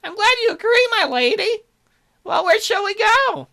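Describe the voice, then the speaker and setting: A man voicing an excitable, devoted bird attendant in a visual novel, flustered and deferential. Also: very high voice, normal speed, vocal fry, voice pushed up, heavily acted. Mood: attentive. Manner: flustered, deferential, easily indignant.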